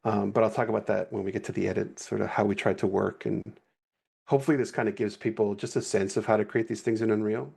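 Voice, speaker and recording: A man with a low, gravelly voice and a husky edge, talking in a loose, easygoing way on a live stream. He rambles a little and keeps correcting himself as he goes. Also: quick pace. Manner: relaxed, rambling, self-correcting. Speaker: guest animator. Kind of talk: casual livestream walkthrough.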